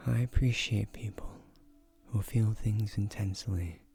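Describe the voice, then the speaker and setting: Casting: male actor as ancient tree guardian, male, romantic fantasy audio drama. Lowish, very slow delivery, formal, seductive, comforting, often near a whisper. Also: vocal fry, warm tone, breathy edge, faint music behind, heavily acted. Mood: wooing, intent. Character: ancient male guardian spirit of a magic tree, tender, courtly suitor. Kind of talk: romantic scripted audio roleplay.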